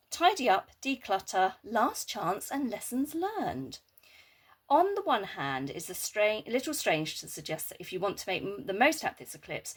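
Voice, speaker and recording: A woman with a hoarse, nearly lost voice from a sore throat, chatting casually in a meandering vlog and reading moon guidebooks aloud. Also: on the low side. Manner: chatty, meandering, with frequent fillers and asides. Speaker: Reiki practitioner and moon vlogger.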